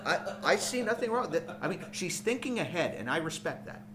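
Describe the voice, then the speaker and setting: A man's voice: high-pitched, sing-song, brisk. A man co-hosting a podcast in casual banter, joking crudely and often repeating the start of his phrases. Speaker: podcast co-host. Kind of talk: casual banter and pop music commentary. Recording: noisy room recording.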